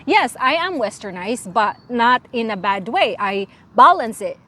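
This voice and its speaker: A woman's voice: on the high side, very animated, measured pace, fairly bright tone. Earnest woman with a Filipino accent. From Philippines. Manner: earnest, frank, often restarts words. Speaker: interviewee. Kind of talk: casual interview.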